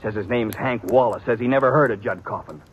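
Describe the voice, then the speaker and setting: A man's voice: mid-range voice, fast, slight background noise, close to an everyday voice. A man acting a stubborn, protective frontier brother. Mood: worried, grim. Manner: earnest, insistent, rustic frontier speech. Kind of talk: 1950s radio western acting.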